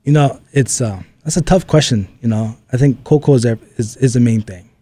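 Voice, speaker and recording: A man's voice: medium pitch, fairly quick, dark tone, husky. A man from Oʻahu, Hawaii, a strength coach as an interview guest, answering thoughtfully and repeating phrases while he searches for words.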